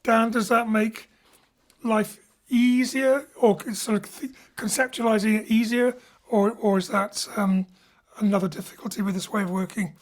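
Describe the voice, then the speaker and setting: A woman's voice: mid-range voice, steady tone, moderate pace. A woman hosting a post-show interview as director, with reflective, rambling questions. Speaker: director and host.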